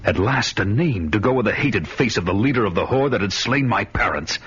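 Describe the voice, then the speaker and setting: An actor playing a vengeful barbarian warrior, narrating his quest in a grim, measured way. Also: lowish voice, expressive, fairly bright tone, breathy edge, exaggerated voice. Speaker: narrator and hero. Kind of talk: first-person radio drama narration. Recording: dull lo-fi audio with music behind.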